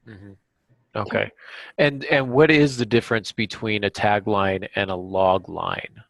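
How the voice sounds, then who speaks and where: A man's voice: lowish voice, steady tone, measured pace, creaky, fairly bright tone, no room sound, rough recording. A man hosting a podcast in a casual, informal way with some self-deprecating asides. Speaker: podcast host.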